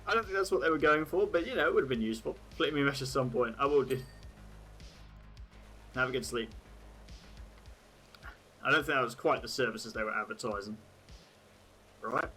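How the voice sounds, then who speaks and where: Young British male streamer, stray Australian vowels, bright tone, casual rambling chat. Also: mid-range voice, normal speed, pauses often, faint music behind. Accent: British, with occasional Australian words. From Britain. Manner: chatty, laughs at his own jokes, thinks aloud with many "like"s. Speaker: livestream host.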